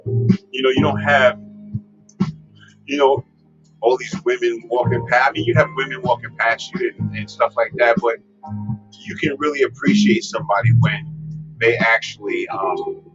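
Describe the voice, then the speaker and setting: A man's voice: mid-range voice, fairly even intonation, normal speed, long pauses. Rambling man with a strong voice. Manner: rambling, many fillers and restarts. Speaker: host. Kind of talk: casual advice livestream. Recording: lo-fi with music behind. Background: guitar music.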